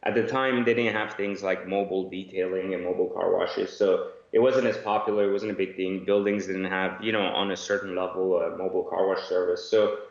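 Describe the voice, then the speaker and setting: A man's voice: sits low, fairly even intonation, a little fast, hardly any pauses. An Iran-born man guesting on an interview podcast, giving long rambling answers full of fillers and restarts. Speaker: guest entrepreneur. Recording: echoey, dull remote audio.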